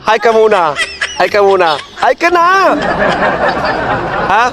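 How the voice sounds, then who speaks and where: A man's voice: sits high, big pitch swings, takes it slowly, over a noisy crowd. A Filipino man chatting casually and playfully as a motorcycle rider vlogger, teasing and joking, speaking into a helmet intercom. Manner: teasing, jokey. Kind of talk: casual playful chat with a passenger. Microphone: helmet intercom headset. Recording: music behind the voice. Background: music.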